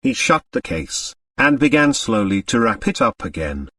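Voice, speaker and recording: A man's voice: on the low side, sing-song, normal speed, bright, forceful tone, no room sound, far from the mic. A man reading a novel aloud as scripted, dramatic audiobook narration.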